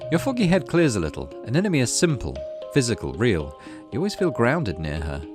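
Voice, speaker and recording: Expressive male gamer narrating, very animated pitch. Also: brisk. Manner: expressive storybook-like reading with casual asides. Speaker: gaming video host. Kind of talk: let's play narration, reading game text aloud. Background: music.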